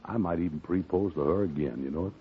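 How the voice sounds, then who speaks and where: A man's voice: low voice, fast, slight background noise, close to an everyday voice. An actor playing a bumbling man who poses as a soldier, stammering and bluffing in a 1940s radio comedy. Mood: pleased. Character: bumbling smooth-talking man posing as soldier. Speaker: Andy, comic lead. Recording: tinny old radio broadcast.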